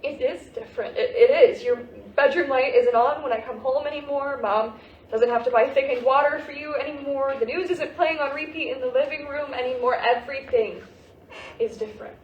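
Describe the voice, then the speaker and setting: An unsure, earnest teenage girl in mourning, read by a woman in a staged drama, speaks hesitantly and openly. The fairly high voice is heard from some way off in a strongly echoing room, over faint noise. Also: a little fast, dull audio, natural voice. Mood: grieving, insistent. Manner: hesitant, emotionally open. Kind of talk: staged play reading. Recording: distant, echoey, faintly noisy staged reading.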